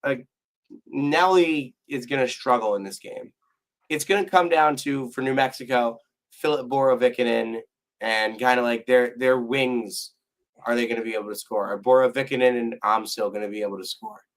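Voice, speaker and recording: Hoarse guy on a sports podcast, voice nearly gone, rambly, keeps restarting. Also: medium pitch, moderate pace, poor audio. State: hoarse, losing his voice. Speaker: podcast co-host. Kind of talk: casual sports podcast chat.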